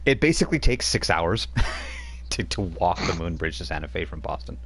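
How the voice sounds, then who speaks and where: Deep-voiced man running a tabletop game. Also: very animated, moderate pace, a bit bright, slight background noise. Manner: chatty, laughs often, rambling with restarts. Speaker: game master.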